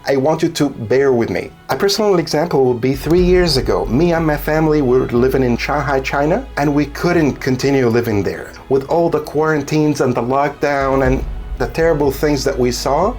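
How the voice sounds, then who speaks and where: A man's voice: mid-range voice, normal speed, darkish tone, faint music behind. A man from Egypt in his thirties, giving an earnest motivational talk to camera from a home studio. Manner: earnest, coaching, self-mocking asides. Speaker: solo business creator.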